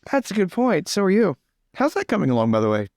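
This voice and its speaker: A man's voice: sits high, huge pitch swings, quick pace, a little mellow, no echo, studio quality, voice pushed up, heavily acted. A man playing a breezy, teasing young lord in a tabletop game, with playful bursts of repeated words.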